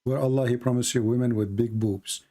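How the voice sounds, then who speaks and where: A man's voice: medium pitch, fairly even intonation, brisk, mellow. A man who speaks English as a second language, hosting an unscripted live call-in debate with teasing, provocative questions. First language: not English. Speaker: live stream host taking calls.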